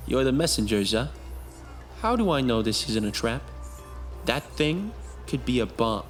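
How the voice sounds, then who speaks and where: A man's voice: mid-range voice, sing-song, unhurried, faint music behind. A man playing a haughty, distrustful soldier on guard, dismissive and challenging, in a dramatic fan dub. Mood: suspicious, haughty. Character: haughty, suspicious male soldier guarding a rebel hideout.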